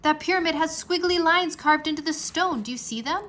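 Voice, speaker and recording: An eager young boy hero from a children's storybook, played by a woman reading aloud. The voice sits on the high side and exclaims with breathless excitement. There is faint noise behind it. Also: fairly quick. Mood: excited.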